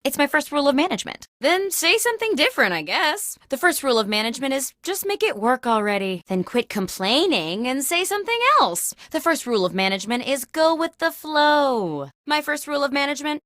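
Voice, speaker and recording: A woman's voice: high voice, brisk, hardly any pauses, no room sound, clean studio sound. A woman playing a peppy, quirky office boss who hosts a comedic video game with mock-corporate patter. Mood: upbeat. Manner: animated, mock-corporate comic delivery. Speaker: video game host character. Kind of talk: scripted comedic game show hosting.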